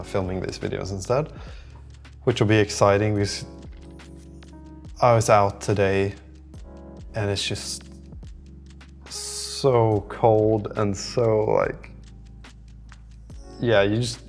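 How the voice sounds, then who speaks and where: A man's voice: lowish voice, vocal fry, darkish tone. A man hosting a video diary, chatting casually in an informal, rambling and hesitant way. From lives in southern Sweden. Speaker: host of a startup-building video diary. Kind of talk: casual vlog chat. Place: indoor room beside a window.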